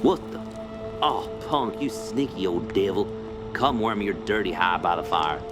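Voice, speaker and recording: An actor playing a gruff, teasing backwoods hunting guide in a radio play, talking in blunt rustic slang. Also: male voice, low-pitched, unhurried, music in the background. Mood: hearty, joshing.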